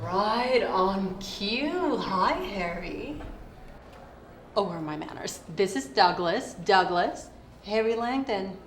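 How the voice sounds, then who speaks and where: A woman plays a brazen, self-assured femme fatale writer with a medium-pitched voice. Her delivery is breezy and self-important, in comedy-drama dialogue with a roomy sound. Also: expressive, takes it slowly. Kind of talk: acted dialogue in a comedy-drama web series. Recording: roomy sound with faint background noise.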